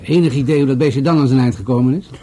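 An actor playing an unruffled police commissioner in a radio drama, dryly ironic and measured as he questions people. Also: medium pitch, warm tone, husky, close to an everyday voice. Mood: dryly probing.

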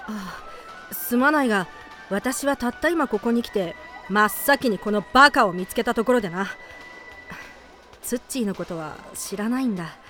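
A woman's voice: medium pitch, animated, moderate pace, a bit bright, soft background music, lightly acted. A woman playing a brusque, confident female teacher in an anime audio drama, wry and commanding with mannish phrasing. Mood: dry, exasperated.

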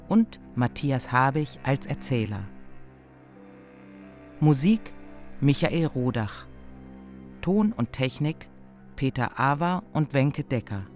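A man reading scripted radio credits as an announcer, formal and deliberate. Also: mid-range voice, darkish tone.

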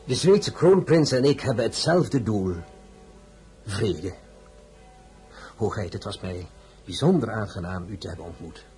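An actor playing a courteous, insinuating gentleman of political intrigue in a period radio drama, formal and deferential, with a husky voice. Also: fairly low pitch, animated, a little slow, long pauses, faint music behind. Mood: ingratiating.

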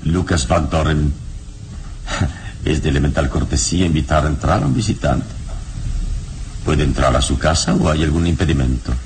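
An actor playing a calm, courteous adventurer hero in a radio serial, speaking in a measured, suave and gently ironic way. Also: male voice, very deep voice, a little hiss or hum. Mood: courteous, probing. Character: calm, courteous, all-knowing adult male adventurer hero. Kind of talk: Mexican radio serial acting.

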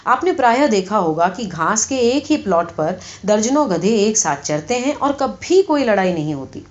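A woman's voice: medium pitch, very animated, lightly acted. Woman acting a talking male donkey, storybook satire, earnest, mock-pompous, formal speechmaking, slightly muffled recording. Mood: earnest, preaching. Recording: slightly dull, lo-fi audio.

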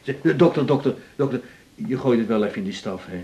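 An actor with a Netherlands Dutch accent playing a stubborn, complaining hospital patient in a radio drama, insistent and repeating himself. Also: male voice, medium pitch, animated, quick pace, warm tone, close to an everyday voice. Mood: grumbling, cheeky. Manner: insistent, repetitive, stammering protests.

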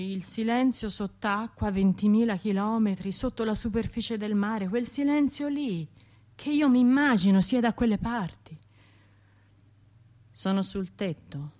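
Radio play monologue: actress as haunted female survivor, medium pitch, self-blaming, obsessive broken phrasing. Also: lightly acted. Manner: fragmented, repetitive, obsessive delivery with hushed and surging phrases. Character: traumatised Italian woman survivor, guilt-ridden, self-reproaching, haunted.

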